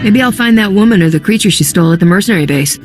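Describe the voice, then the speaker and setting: A woman's voice: medium pitch, animated, rapid-fire, faint music behind, lightly acted. An actress playing a cool female space smuggler in a video game, casual and wry. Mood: curious, skeptical. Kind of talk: video game dialogue acting.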